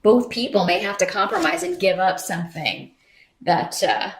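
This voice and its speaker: A woman's voice: fairly low pitch, lively intonation, moderate pace. A woman giving an unscripted tarot reading to viewers in a conversational, rambling, reassuring way. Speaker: tarot reader and channel host. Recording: roomy echo.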